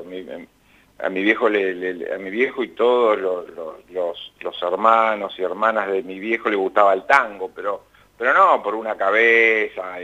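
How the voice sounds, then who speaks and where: A man's voice: lowish voice, sharp tone, dull audio. A middle-aged Argentine man with an Argentine accent, a radio guest talking in a reflective, rambling way and laughing often. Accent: Argentine Spanish. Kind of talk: casual radio interview.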